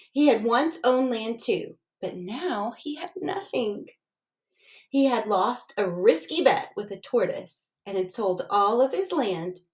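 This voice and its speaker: A woman with a fairly high voice reads a children's story aloud at a very slow pace. She is expressive, in the way of a teacher, and steps out of the tale to put questions to the young listeners. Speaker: teacher reading aloud to their class.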